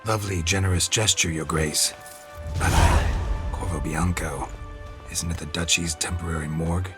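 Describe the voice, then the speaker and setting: A deep, gravelly male voice from an actor plays a world-weary game monster hunter. He is stoic and deadpan, speaking in clipped phrases, with music clearly behind him. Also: moderate pace, close to an everyday voice. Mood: dry, measured. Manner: laconic, deadpan, clipped sentences. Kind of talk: video game character acting.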